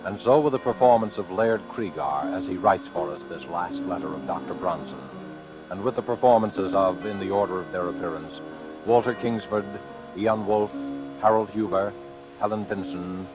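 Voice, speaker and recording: Low-voiced man announcing over music. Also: muffled recording. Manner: formal, measured, ominous. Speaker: radio show announcer. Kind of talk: 1940s radio suspense introduction. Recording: vintage 1940s radio recording.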